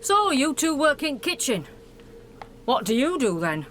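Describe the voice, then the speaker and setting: A woman's voice: high voice, sing-song, measured pace, a bit bright, full character voice. A woman acting a plain-spoken, cheeky, informal trader in an amateur radio play. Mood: curious. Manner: blunt, informal. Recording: faintly noisy amateur recording.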